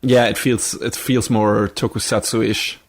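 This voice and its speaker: A man chatting casually as a podcast co-host, asking questions in a searching, halting way. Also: on the low side, flat delivery. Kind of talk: casual anime discussion.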